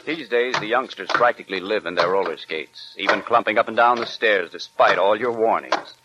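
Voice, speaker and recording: A man's voice: fairly low pitch, fairly quick, very noisy. A man reading a scripted advertisement as an announcer in 1940s radio style.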